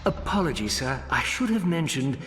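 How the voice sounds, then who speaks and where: A formal robot butler, polite and deadpan. His slightly raised voice still moves widely in pitch. Game cutscene acting. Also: moderate pace, loud music behind the voice. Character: dry, sardonic male robot butler.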